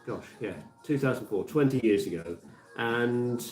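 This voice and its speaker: A man's voice: mid-range voice, lively intonation, a little slow. A man in his fifties with a Birmingham accent, presenting live radio in a casual, rambling way, full of fillers and restarts. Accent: Birmingham (Brummie). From Birmingham, England. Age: 50s. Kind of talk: casual live radio chat with song links. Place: community radio studio. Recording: echoey room, mic at a distance. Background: music playing.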